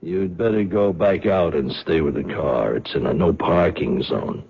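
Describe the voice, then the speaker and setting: A husky, low-voiced gangster who poses as a cop and barks curt orders. The sound is dull and lo-fi, like an old radio broadcast. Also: male voice, unhurried, heavily acted. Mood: menacing. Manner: curt, bullying, gives orders. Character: menacing male gangster posing as cop. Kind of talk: 1970s radio drama acting.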